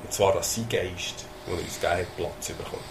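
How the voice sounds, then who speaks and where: A man's voice: low-pitched, fairly even intonation, a little fast, vocal fry, background noise. A man with a Swiss German accent preaching a sermon, talking in a conversational way with hesitations and sudden emphasis. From Switzerland.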